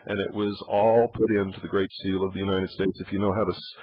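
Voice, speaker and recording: Husky man on a thin, phone-like line. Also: low-pitched, little pitch movement, quick pace, no echo. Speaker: interview guest. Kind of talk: radio interview, expounding. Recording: muffled phone-like radio audio.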